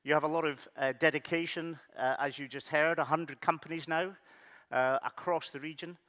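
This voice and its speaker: A man's voice: a little high, fairly even intonation, normal speed, bright, forceful tone. Scottish man giving a conference keynote. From Scottish Highlands. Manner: friendly, loose delivery with frequent fillers. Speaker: keynote speaker. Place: large conference hall. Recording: conference hall with long reverb.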